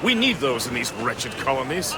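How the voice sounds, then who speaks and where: A man voicing a jovial, gentlemanly first mate in a sailing video game, with hearty, old-fashioned phrasing. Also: mid-range voice, big pitch swings, moderate pace, close to an everyday voice. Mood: genial, earnest. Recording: loud wind and sea noise. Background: ship, waves and wind.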